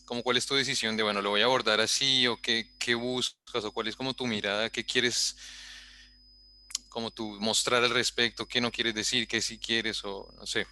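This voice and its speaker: A man with a Bogotá accent asking a question on a video call, halting and searching for words. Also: medium pitch, little pitch movement, bright, forceful tone, a little hiss or hum. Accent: Colombian (Bogotá). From Bogotá, Colombia. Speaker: audience member asking a question.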